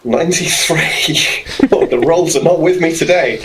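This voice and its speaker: A man's voice: on the high side, a little slow, fairly bright tone, rough recording. A man casually describing his character's actions as a player in a tabletop game, wordy and thinking aloud. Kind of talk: casual improvised play description. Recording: clear background noise, room ambience.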